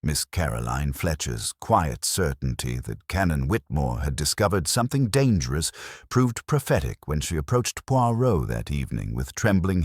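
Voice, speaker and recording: Very deep-voiced man narrating a mystery. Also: no room sound, studio quality. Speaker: audiobook narrator. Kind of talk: scripted narration of a murder mystery story.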